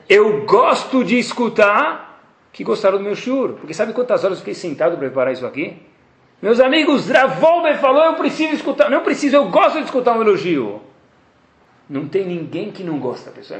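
A Brazilian man teaching a class as a rabbi, on the higher side for a man, his voice leaping up and dropping low as he acts out the voices in his stories. He speaks with a Brazilian Portuguese accent, and the room around him rings with echo. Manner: animated storytelling, acts out quoted dialogue. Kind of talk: Torah class lecture.

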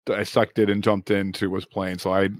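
A man's voice: low voice, flat delivery, moderate pace, dry recording. A man co-hosting a casual talk show, breaking into laughter again and again. He stumbles, trails off and restarts his thoughts, and his voice sits close and dry on the microphone. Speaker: podcast co-host. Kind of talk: casual chat.

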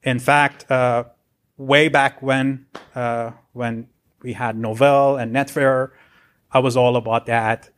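A man's voice: mid-range voice, flat delivery, slow pace, clear, resonant tone. A man in his forties, originally from Iran, telling his career story as a podcast guest in an earnest, rambling way. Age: 40s. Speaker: guest, software architect. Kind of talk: casual interview chat.

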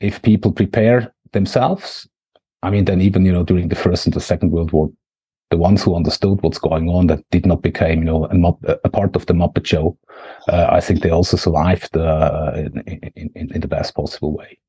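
A man with a Swiss accent talks in a low, dark, husky voice. He speaks haltingly as an interview guest, circling back through fillers and restarts. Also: steady tone. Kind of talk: conversational interview.

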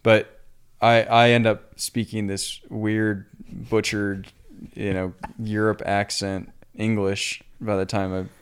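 A young adult man from the US Pacific Northwest, a professional cyclist chatting casually on a podcast in a relaxed, rambling way. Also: on the low side, slow, smooth. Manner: relaxed, rambling, self-deprecating.

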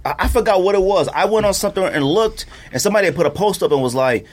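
A man hosting a podcast in casual chat, animated and often laughing, his voice leaping high when he is incredulous. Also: racing, slight background noise. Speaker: podcast host.

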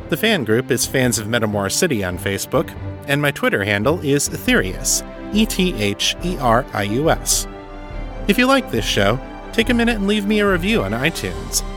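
A man hosting a solo podcast, reading and answering listener letters in an articulate, measured, explanatory way. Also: medium pitch. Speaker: podcast host and novelist.

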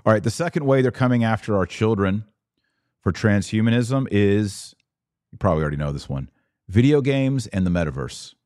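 A man's voice: on the low side, animated, fairly quick, darkish tone, no room sound, studio quality. A middle-aged American man hosting a podcast, giving an opinionated monologue in emphatic, short punchy phrases. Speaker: podcast host.

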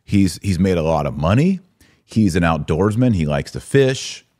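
A man's voice: low voice, sing-song, moderate pace, warm tone, no echo, clean studio sound. A man hosting a live podcast, giving casual commentary and explaining things conversationally to listeners. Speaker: podcast host.

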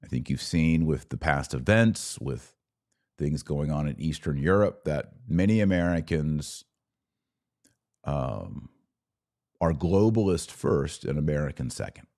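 A man's voice: very deep voice, animated, unhurried, darkish tone, dry recording, studio quality. A man hosting a podcast livestream, conversationally reading out viewer comments and responding to them. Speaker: podcast host. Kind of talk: casual live-stream commentary, reading viewer comments aloud.

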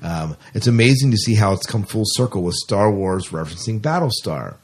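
A middle-aged man with a lowish, slightly husky voice hosts a podcast. He reads out entertainment news in a casual, chatty way. His delivery is informal, with a fan's enthusiasm. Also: lively intonation, brisk, darkish tone. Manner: informal, enthusiastic fan talk. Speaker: podcast host.

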